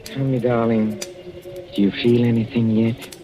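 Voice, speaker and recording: An actor playing a sinister, jealous husband with slow, menacing endearments in a 1940s radio thriller, on an old recording. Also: male voice, medium pitch, level tone, warm tone, faint music behind, lightly acted. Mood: coldly menacing. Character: sinister jealous husband poisoning his wife.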